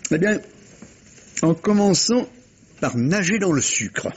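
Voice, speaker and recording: An actor playing a wry police inspector in a vintage French radio mystery, measured and theatrical, explaining a case with teasing rhetorical questions. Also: male voice, sits high, expressive, breathy edge, exaggerated voice. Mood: wry, explanatory. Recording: dull, muffled vintage radio recording.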